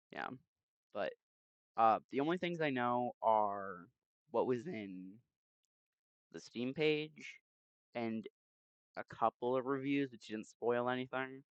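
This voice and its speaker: A man chatting casually and haltingly as he hosts a livestream, speaking into a headset mic. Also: mid-range voice, clear, resonant tone, dry recording, dull audio. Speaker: game streamer, host. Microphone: new headset microphone.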